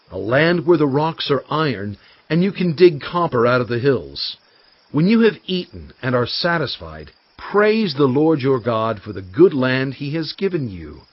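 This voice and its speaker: An actor playing a solemn, impassioned male prophet in a dramatised scripture reading, speaking with emphatic, oratorical, deliberate phrasing. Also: medium pitch, husky, no echo. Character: Moses, solemn, impassioned male prophet exhorting his people. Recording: dull, band-limited audio with music behind.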